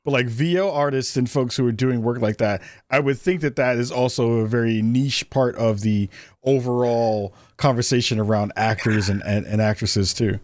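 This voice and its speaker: A man's voice: mid-range voice, moderate pace, darkish tone, no echo, studio quality. A man hosting a podcast interview, asking long questions with plenty of enthusiasm. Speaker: podcast host. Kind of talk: conversational interview.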